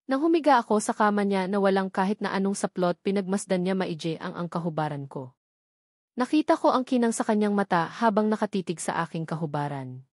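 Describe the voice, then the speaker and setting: A woman's voice: mid-range voice, a little mellow, no echo, clean studio sound. A woman reading a first-person romance story as a scripted narration. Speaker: audiobook narrator.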